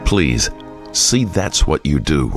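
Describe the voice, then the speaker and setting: An actor playing a weary, brooding billionaire vigilante in an audio drama, curt and grave in manner. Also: male voice, sing-song, slow pace, heavily acted. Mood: curt, dismissive. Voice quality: low, gravelly. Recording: music behind the voice.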